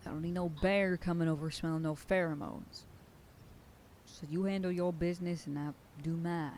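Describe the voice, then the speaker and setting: A woman's voice: fairly low pitch, steady tone, moderate pace, a little mellow, slight background noise, lightly acted. A woman voicing a bossy female werewolf sheriff who lectures her pack in an ASMR roleplay, sometimes dropping to a whisper. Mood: stern warning. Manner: stern, commanding, lectures a group. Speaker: voice actor.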